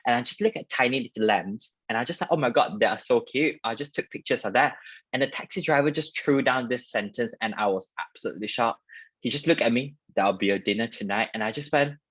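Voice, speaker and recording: A man's voice: medium pitch, quick pace, fairly bright tone, husky, dry recording, rough recording. A young man from Malaysia with a Malaysian accent, telling personal stories in a chatty, wordy way as a guest dentist in a career talk. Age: young adult.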